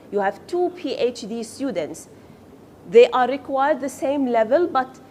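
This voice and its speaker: A woman's voice: on the high side, a little mellow, a little hiss or hum. A woman whose first language is Arabic, teaching a class and quizzing her students, then praising their answers. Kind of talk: classroom lecture with questions.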